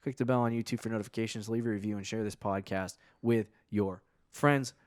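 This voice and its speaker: Podcast co-host, man from New England, low voice, dry close mic, loose chatty delivery. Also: fairly even intonation, brisk, no echo. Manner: loose, laughing, trails off and restarts. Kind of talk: casual sports chat.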